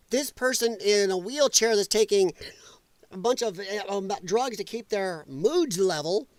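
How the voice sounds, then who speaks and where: A man mockingly playing a smug, condescending government hiring bureaucrat in a faux-reasonable, drawn-out manner. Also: high voice, no room sound.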